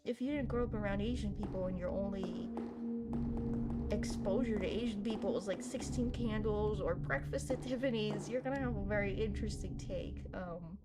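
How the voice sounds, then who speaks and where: Young woman, gaming host, casual rambling commentary, self-deprecating, middle pitch, loud game music behind. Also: normal speed. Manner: chatty, rambling, self-deprecating, many fillers. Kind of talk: casual commentary while playing.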